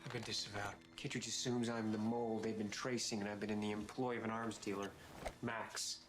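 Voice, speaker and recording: An actor playing a terse, intense secret agent on the run, in dramatic film dialogue. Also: male voice, fairly low pitch, flat delivery, fairly quick, music in the background, close to an everyday voice. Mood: tense. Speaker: film character.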